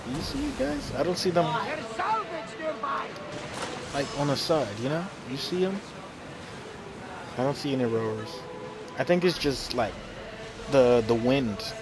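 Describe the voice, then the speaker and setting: A man's voice: mid-range voice, very animated, a little slow, pauses often. A man casually commenting as he plays a video game, chatting and laughing with his viewers. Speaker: gamer playing a video game.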